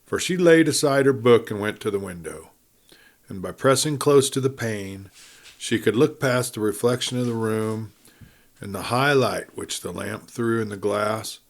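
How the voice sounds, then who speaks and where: Measured male western storyteller. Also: on the low side. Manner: measured, deliberate storytelling with pauses. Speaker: audiobook narrator. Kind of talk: dramatic scripted narration of a western novel.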